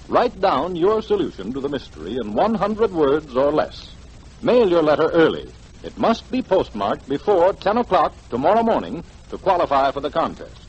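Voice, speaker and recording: A man announcing formally and emphatically in the style of 1940s radio promotion, with a husky voice. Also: on the high side. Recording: dull, lo-fi 1940s radio broadcast.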